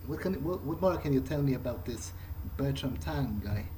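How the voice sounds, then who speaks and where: A man's voice: mid-range voice, animated, moderate pace, noisy background, natural voice. A man playing a polite, hesitant novice vampire in an improvised video game playthrough, thinking aloud as he goes. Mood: uncertain, inquisitive. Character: polite, hesitant young male vampire, new to undeath. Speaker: gamer role-playing his character.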